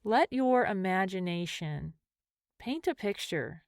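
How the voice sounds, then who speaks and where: A woman leading a guided meditation as a mindfulness teacher, speaking gently and in measured phrases with pauses. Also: medium pitch, lively intonation, no room sound, studio quality.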